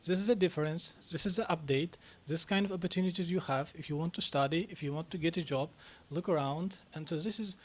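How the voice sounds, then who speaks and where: A man answering questions on a press club panel, talking haltingly with many fillers and restarts. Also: fairly high pitch. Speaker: panel speaker on national reforms.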